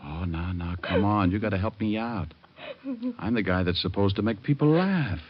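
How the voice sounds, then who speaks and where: Deep-voiced old-time radio detective. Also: male voice, animated, normal speed. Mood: soothing, pressing. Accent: American. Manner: casual, slangy, wry. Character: wisecracking tough private detective, adult man, tender with family. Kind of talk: 1940s radio drama acting with first-person narration. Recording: muffled, noisy vintage radio recording.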